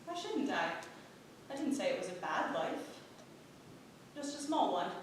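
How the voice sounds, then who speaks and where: A woman playing a weary, resolute wife who guards secrets in a period stage play, speaking in a measured, earnest way. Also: sing-song. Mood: quiet, guarded. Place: theatre stage. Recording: roomy echoing stage, distant mic, rumble.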